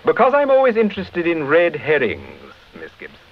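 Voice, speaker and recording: An actor playing a suave, courteous English gentleman sleuth with a polished Received Pronunciation accent in a British radio drama. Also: a little high, huge pitch swings, a little fast. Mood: teasing. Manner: polite, urbane. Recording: dull lo-fi vintage radio recording.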